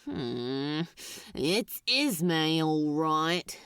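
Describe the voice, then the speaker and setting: A woman's voice: sits low, sing-song, extremely slow, fairly bright tone, clean tone, no room sound, studio quality, pitched below the performer's own voice, exaggerated voice. A woman as an exasperated, hissing male demon. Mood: dismayed.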